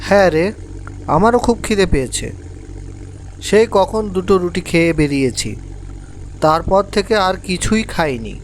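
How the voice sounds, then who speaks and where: Guy doing a practical loyal trucker pal, cartoon, slightly high voice, bit of engine noise. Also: animated, normal speed, pauses often. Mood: hungry. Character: loyal, practical male lorry driver, sceptical friend. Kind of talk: cartoon voice acting. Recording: faint engine noise behind.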